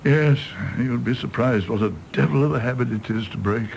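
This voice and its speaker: A man's voice: mid-range voice, moderate pace, vocal fry, a little mellow, a little hiss or hum, lightly acted, rough recording. A man with an English accent playing a rueful, tippling elderly doctor in a radio drama, wry and rambling. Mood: confiding. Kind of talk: stage play performed as broadcast drama.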